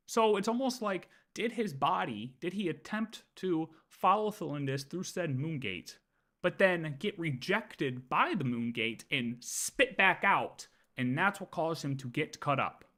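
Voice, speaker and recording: A man's voice: a little high, very animated, a little slow, pushed and bright. A man livestreaming casual game lore commentary, thinking aloud and often repeating his words as he works through ideas.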